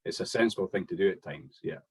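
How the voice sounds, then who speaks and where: Scottish guy in his 40s, low husky voice, chatty, laughs a lot, stumbles into sentences. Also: fast talker, a little mellow. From Scotland. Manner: chatty, laughs often, repeats words as he starts sentences. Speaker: golf club head of operations, guest. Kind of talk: casual podcast chat.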